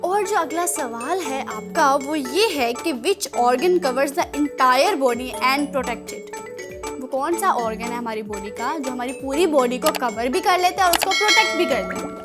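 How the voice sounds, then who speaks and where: A woman with a Pakistani accent presenting a children's show in a playful, chatty, animated way. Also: high-pitched, unhurried, music in the background. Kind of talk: playful quiz and segment presenting.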